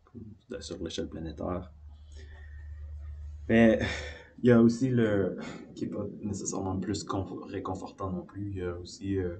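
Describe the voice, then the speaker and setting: A man from Beauce with a Quebec French accent, rambling through personal reflections in a halting way, often searching for words. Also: deep voice, steady tone, warm tone, a little hiss or hum. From Beauce, Quebec, Canada.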